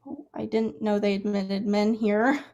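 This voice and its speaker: Woman acting a mellow, tentative new girl. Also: mid-range voice, unhurried, lo-fi sound, lightly acted. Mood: curious, puzzled. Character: polite, curious young female new clinic patient. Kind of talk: staged play reading.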